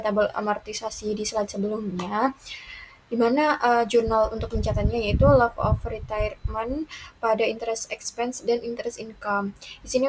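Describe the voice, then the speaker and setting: A young woman giving a student lesson presentation in a halting way, with broken-off words and restarts. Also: a little high, darkish tone, low-quality recording. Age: young adult. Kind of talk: lesson presentation, working through accounting problems. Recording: noticeable background noise.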